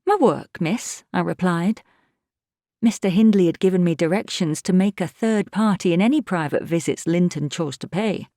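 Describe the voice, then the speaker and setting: An actress playing an observant, plain-spoken housekeeper who tells a story in measured audiobook narration. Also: female voice, lowish voice, mellow, no room sound, clean studio sound, close to an everyday voice. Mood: pointed, unyielding.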